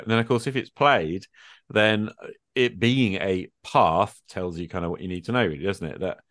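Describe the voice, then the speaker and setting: A man's voice: lowish voice, a bit bright, dry recording. A man speaking as a guest in a casual discussion, informal and rambling, often checking in with the listener. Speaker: guest, experienced game player.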